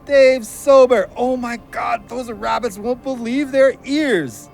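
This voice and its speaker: A man's voice: very high voice, slow pace, vocal fry, faint music behind, full character voice. A man playing a joking, loyal hunting buddy who grows wary, in an audio drama. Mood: teasing. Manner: teasing, colloquial.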